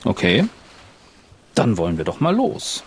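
A man's voice: on the low side, huge pitch swings, unhurried, husky. A man casually improvising as a player in a tabletop roleplaying game.

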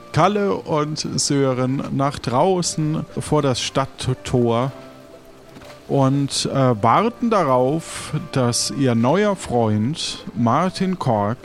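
A man improvising in an audio role-play as an easygoing seafarer, talking in a casual, halting way. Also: medium pitch. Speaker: game narrator and player.

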